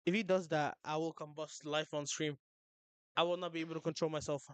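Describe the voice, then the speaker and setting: A young man streaming, chatting casually and excitably, tripping over his words. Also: fairly high pitch, brisk, clear, resonant tone, dry recording. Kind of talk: casual livestream chat.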